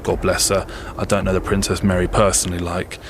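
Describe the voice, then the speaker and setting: An actor playing a blunt, world-weary British army sergeant of the First World War in an audio drama, with a colloquial London accent. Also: male voice, sits low, flat delivery, a little fast, a little hiss or hum. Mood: blunt, cynical.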